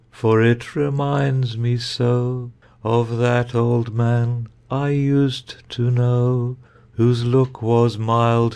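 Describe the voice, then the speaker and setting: A man plays a mild, earnest storybook knight with a soft-edged, mellow voice. He is wistful and a little doubtful, and faint music sits behind him. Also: mid-range voice, vocal fry, clear, resonant tone. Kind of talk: dramatized audiobook acting.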